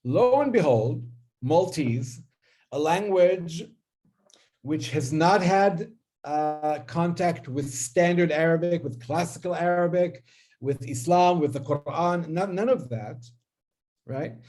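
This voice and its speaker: A man whose first language is Hebrew lectures on linguistics in a slightly raised, mellow voice. He hesitates constantly, stacking fillers and restarts. He keeps checking in with his listeners by asking "right?". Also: fairly high pitch, takes it slowly. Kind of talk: academic lecture.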